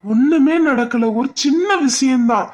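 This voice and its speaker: Woman playing a gentle coaxing husband. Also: high-pitched, measured pace, highs cut off. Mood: soothing. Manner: soft, coaxing, plain-spoken. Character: gentle husband soothing his wife. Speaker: husband in a family skit. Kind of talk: amateur domestic drama acting.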